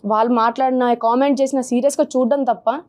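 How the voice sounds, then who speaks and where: A woman's voice: fairly high pitch, fairly even intonation, quick pace, a little mellow. A woman in her twenties, earnestly and hesitantly telling her personal story in an interview. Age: 20s. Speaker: guest sharing her problem. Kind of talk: confessional interview.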